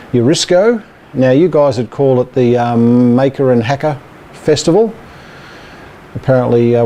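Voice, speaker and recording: A man's voice: medium pitch, mellow, a little hiss or hum. A man with an Australian accent giving a casual, hesitant, rambling update as an amateur radio club presenter.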